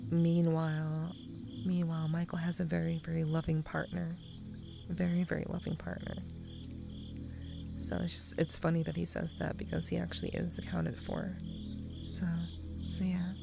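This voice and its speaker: A young woman streamer talking in a soft, soothing half-whisper, her voice a little scratchy after crying, with music behind her. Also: lowish voice, creaky. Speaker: VTuber streamer. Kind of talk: ASMR stream chat.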